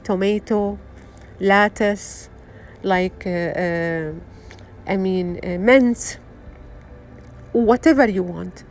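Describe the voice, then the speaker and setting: A middle-aged woman from Syria with a Syrian Arabic accent, giving an unscripted motivational talk, earnest and halting, full of fillers and restarts. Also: medium pitch, faint music behind. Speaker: wellness vlogger.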